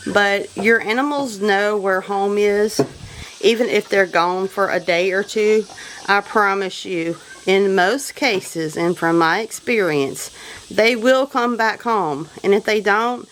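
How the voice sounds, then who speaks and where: A woman with a Southern American accent talks plainly through practical farm tips in a middle-pitched voice. She walks around her homestead outdoors, recorded on a handheld camera. Clear noise sits behind her voice. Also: fairly bright tone, low-quality recording. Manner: plain, instructive, conversational. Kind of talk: walking tour with practical advice.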